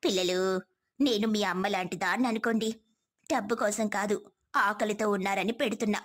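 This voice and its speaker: A woman's voice: medium pitch, steady tone, normal speed, natural voice. A woman voicing a kind, reassuring motherly village woman in a moral story. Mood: caring, insistent.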